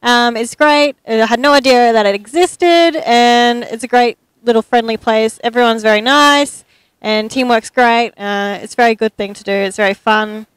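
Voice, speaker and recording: A young woman speaks a little shyly into a handheld mic outdoors. Her voice is somewhat high and smooth. The sound is dry and close. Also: moderate pace, no echo, poor audio. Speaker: interviewee, new larp player. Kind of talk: casual interview answer. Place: outdoors at a convention.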